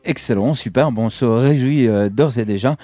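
A man's voice: mid-range voice, moderate pace, mellow, dry recording, faint music behind. A man hosting an interview, asking rambling, chatty questions full of fillers. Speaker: radio host.

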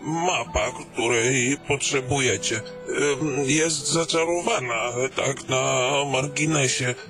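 A man's voice: mid-range voice, takes it slowly, close to an everyday voice. A man playing a fussy, chatty old fantasy mapmaker in an audio drama, hesitating and stammering as he mumbles along. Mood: flustered, proud. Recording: background music under the voice.

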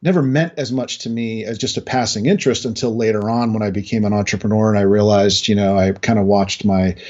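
Middle aged american guy, lowish voice, thinking out loud in an interview, kinda dull lofi sound. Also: steady tone. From New England, United States. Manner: halting, reflective, anecdotal. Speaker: interview guest, industry analyst. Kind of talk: casual conversational interview.

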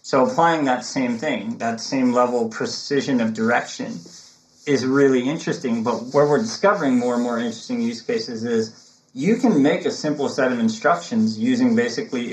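A man with a mid-range voice speaks as a guest in an interview. He explains ideas in an animated, conversational way, peppering them with "look" and "right?". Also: mid-range voice, poor audio. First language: English. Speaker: guest, technology consultant.

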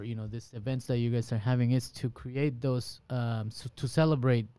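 Smooth-voiced middle-aged male host. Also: medium pitch. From Guatemalan background. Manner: relaxed, affirming listener. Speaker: podcast host. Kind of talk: casual conversation.

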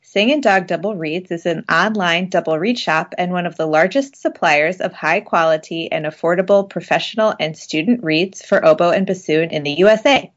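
A woman reading a podcast sponsor ad in a clear, measured way and spelling out a web address. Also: lowish voice, dull audio. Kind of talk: sponsor read.

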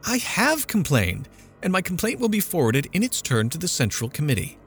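Petulant, easily affronted mother, voice pitched high and complaining; a male reader puts her on. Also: very animated, moderate pace, fairly bright tone, soft background music. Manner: complaining, indignant, raised pitch. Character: fretful, petulant middle-aged mother.

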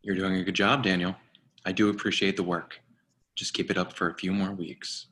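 A commanding covert agency boss, played by a man in a screenplay reading. The voice is fairly low. The delivery is firm, clipped and authoritative, in a natural acting style. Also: little pitch movement, brisk, sharp tone. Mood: placating.

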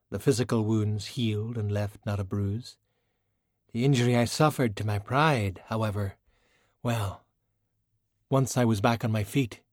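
A male audiobook reader performs a refined, silky woman of great poise. The words come measured and formal, with wry, proud self-pity. The recording is dry, with no room sound. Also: fairly low pitch, a little mellow, dry recording. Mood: quietly bitter. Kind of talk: audiobook character dialogue.

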